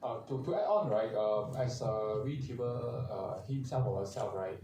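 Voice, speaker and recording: Halting man, echoey hall. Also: mid-range voice, lively intonation, gravelly. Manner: halting, many "uh" fillers, non-native grammar. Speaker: vtuber agency manager. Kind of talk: convention panel talk. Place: large convention hall. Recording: echoey hall, distant mic, faint crowd noise.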